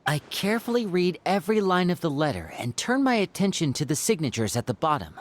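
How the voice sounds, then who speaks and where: An actress playing a grave, courteous, dutiful adventurer who speaks formally and deliberately. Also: female voice, fairly low pitch, big pitch swings. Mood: serious, focused.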